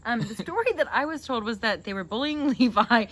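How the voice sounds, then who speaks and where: A young woman chatting casually and telling stories in an animated, teasing, joking way. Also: fairly high pitch, brisk. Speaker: host interviewing a sibling. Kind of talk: casual chat and storytelling. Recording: noisy, with clear background noise.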